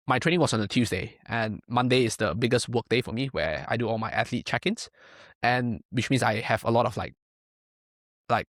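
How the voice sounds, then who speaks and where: A man's voice: mid-range voice, dry recording, studio quality. A young man from Malaysia, a powerlifting coach, talking casually in a podcast interview, filling his speech with "like" and "right?". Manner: rambling, many fillers and tag questions.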